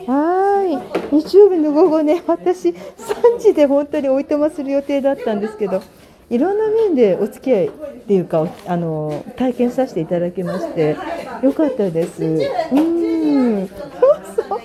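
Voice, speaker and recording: Lady host w a high bouncy voice, rambly polite gushy chat, people talking all around. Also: big pitch swings, normal speed. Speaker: host of an audio app show; visual artist and designer. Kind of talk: casual unscripted chat with guests and announcements. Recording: crowd chatter behind, live on the spot.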